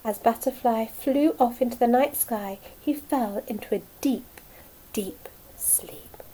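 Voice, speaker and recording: Lady nursery teacher reading a story to little kids, highish soft husky voice, expressive. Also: slow, a little hiss or hum, rough recording. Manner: simple, expressive, child-directed. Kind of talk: storytelling to young children.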